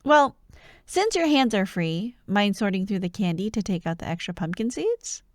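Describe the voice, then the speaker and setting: A woman playing an excitable, teasing young childhood friend who loves Halloween, in an ASMR roleplay addressed to the listener. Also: mid-range voice, normal speed, no room sound, studio quality, natural voice. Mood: bubbly. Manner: playful, teasing, animated, with frequent giggles and mock drama.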